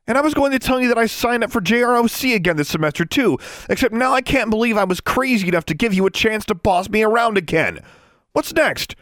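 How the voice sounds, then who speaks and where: A man's voice: sits high, expressive, fast, a bit bright, no room sound, clean studio sound, pitched above the performer's own voice. A man voicing a hot-tempered, defiant twelve-year-old girl in an audiobook, snapping out indignant retorts. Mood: furious yelling. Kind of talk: audiobook character voice.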